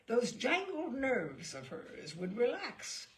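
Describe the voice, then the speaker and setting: A woman's voice: on the high side, wildly swooping pitch, takes it slowly, heavily acted. A woman voicing a sardonic, grave ship's doctor of sixty, dry and pompous, in a storybook reading. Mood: dryly amused.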